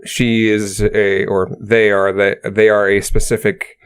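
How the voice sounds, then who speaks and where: A low-voiced man co-hosting a casual podcast. His voice is smooth and clear, with a lisp on some sounds. He talks in an informal, conversational way. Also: level tone, measured pace. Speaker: podcast co-host.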